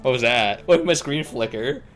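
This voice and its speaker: Streamer chatting over a game, mid-low voice, casual slangy muttering, faint game music behind. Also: expressive, moderate pace. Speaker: livestreamer playing a game. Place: game livestream with game audio behind.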